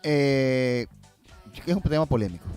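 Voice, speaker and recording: A man's voice: medium pitch, moderate pace, a little mellow, faint music behind. A man chatting casually as a guest, often thinking aloud and repeating himself. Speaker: guest filmmaker. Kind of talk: casual conversational interview answers.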